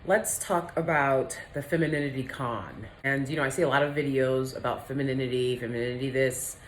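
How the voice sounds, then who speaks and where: An American woman with a low-toned voice, talking candidly and colloquially to viewers in a casual opinion vlog. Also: fairly quick, a little hiss or hum. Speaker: video host. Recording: roomy, echoey indoor sound.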